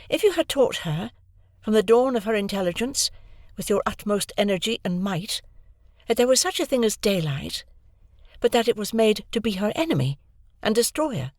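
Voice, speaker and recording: A woman voicing a proud, composed young woman who speaks in a measured, cool way, in an audiobook reading. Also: mid-range voice, no room sound, clean studio sound, voice pushed up, natural voice. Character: cold proud young woman, calm and reasoning.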